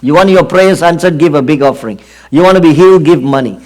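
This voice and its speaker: A middle-aged man from Singapore with a Singaporean accent, preaching an earnest sermon and often pausing on fillers. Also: a little high, a little mellow, rough recording. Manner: earnest, drawn-out emphasis with frequent fillers.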